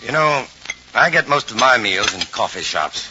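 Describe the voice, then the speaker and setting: A man playing a calm, brave, practical scientist in a 1950s radio drama, earnest and emphatic in his delivery. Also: medium pitch, moderate pace, lightly acted. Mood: easygoing, practical. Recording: noisy, dull vintage radio recording from vinyl.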